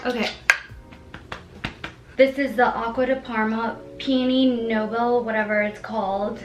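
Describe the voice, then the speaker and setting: A woman in her twenties chatting excitedly in a casual beauty haul vlog, gushing and giggly. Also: high-pitched. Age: 20s. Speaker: beauty vlogger. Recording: echoey room with background music.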